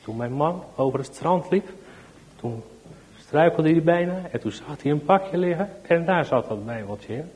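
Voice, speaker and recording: A gentle, worried mother from a storybook, voiced by a man in a raised, softer pitch. She protests anxiously and simply. She sounds plainly like someone putting on a voice. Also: lively intonation, normal speed, big-room sound, natural voice, poor audio.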